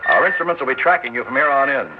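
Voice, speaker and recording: A gruff army general , voiced by a man, snaps out orders in a voice that rises and falls a lot. It comes through a thin, phone-like old radio broadcast with steady hiss. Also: medium pitch, very fast, natural voice. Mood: commanding. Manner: barking orders, brusque. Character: commanding male army general, gruff and blustering. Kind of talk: 1960s radio drama acting.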